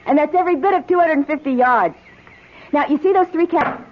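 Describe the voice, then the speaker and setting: An actress playing an outspoken, spirited young wife on an expedition, expressive and teasing in an old-time radio drama. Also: female voice, high-pitched, brisk, natural voice. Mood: cheerful. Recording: dull, noisy old radio recording.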